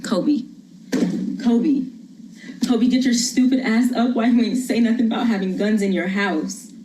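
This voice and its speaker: An actress playing a desperate young mother who softly pleads with her small son to put down a gun, then turns angry, acting a monologue over a video call. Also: mid-range voice, a little slow, background noise, close to an everyday voice. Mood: coaxing. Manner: shifts from soft pleading to angry threats. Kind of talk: acted dramatic monologue.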